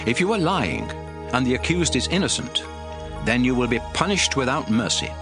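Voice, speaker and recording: Commanding male lawgiver: solemn, oratorical scripture drama, highly animated mid-range voice with music underneath. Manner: emphatic, oratorical. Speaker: scripture narrator playing a prophet and lawgiver. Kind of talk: dramatized audio Bible reading.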